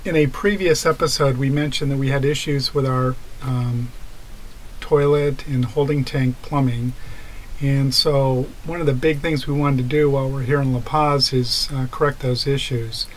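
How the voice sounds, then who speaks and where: A man's voice: mid-range voice, fairly even intonation, moderate pace, a little hiss or hum, poor audio. A middle-aged man in a cramped sailboat cabin explains plumbing repairs in a matter-of-fact way, with many ums. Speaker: sailing vlogger. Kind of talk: casual explanation of boat repairs. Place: inside a small sailboat cabin.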